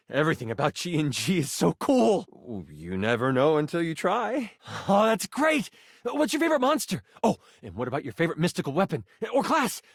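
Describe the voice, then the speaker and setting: An actor playing an excitable, geeky living twenty-sided die who serves as an eager, theatrical game master in a video game. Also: male voice, on the high side, no echo, studio quality. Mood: excited, gushing. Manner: theatrical, eager, alliterative storyteller flourishes. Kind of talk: video game character voice acting.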